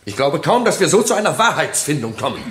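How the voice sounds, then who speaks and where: A man's voice: a little high, very animated, fast, fairly bright tone, slight background noise. An actor playing a skeptical male public prosecutor, formal and incisive, in a German courtroom radio play. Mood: skeptical, insistent.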